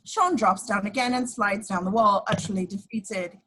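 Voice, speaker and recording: A woman narrates the stage action of a script. Her voice is somewhat husky, and she reads the descriptions as scripted narration at a table read. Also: medium pitch, normal speed, a bit bright.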